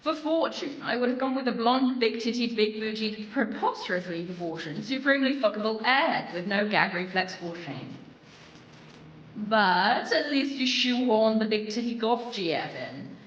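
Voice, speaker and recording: An actress playing a vain, scornful succubus demon in a video game, with theatrical, haughty, sarcastic delivery. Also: female voice, fairly high pitch, expressive, normal speed, reverberant, far from the mic, muffled recording, lightly acted. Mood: scornful, mocking. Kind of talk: video game character dialogue.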